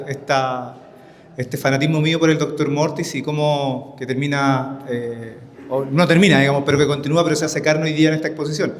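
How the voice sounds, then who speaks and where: A man with a Chilean accent giving an informal opening speech as a curator, often correcting himself. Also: mid-range voice. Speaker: writer and exhibition curator. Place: indoor exhibition opening. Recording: public event with crowd and faint noise.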